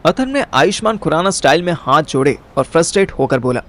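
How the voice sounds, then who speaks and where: A man's voice: on the high side, animated, fast, a bit bright. A young man narrating an audio story in the first person in casual, slangy Hinglish, acting out his own dialogue. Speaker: narrator and lead character.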